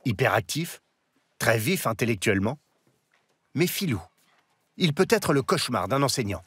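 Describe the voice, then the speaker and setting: A man narrating a documentary in a measured, scripted voice-over. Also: medium pitch, big pitch swings, a bit bright. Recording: voice-over with faint music.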